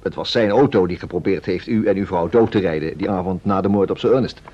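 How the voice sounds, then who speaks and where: Radio drama: pointed, distrustful inspector, Dutch accent, lowish husky voice, dull vintage recording. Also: male voice, fast talker. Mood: convinced. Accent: Netherlands Dutch. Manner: insistent, pointed questioning. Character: blunt, suspicious male police inspector. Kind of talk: old-fashioned Dutch radio play acting.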